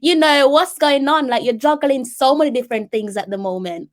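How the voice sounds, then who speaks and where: A woman hosting an interview, talking in a relaxed, conversational way and asking thoughtful questions. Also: high voice, a little fast. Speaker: interviewer, host. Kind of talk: casual interview chat.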